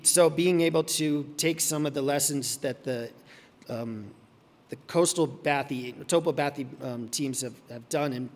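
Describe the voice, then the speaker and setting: A man giving a conference talk, hoarse and losing his voice, halting with many ums and restarts. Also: medium pitch, long reverb tail. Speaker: conference presenter. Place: conference hall.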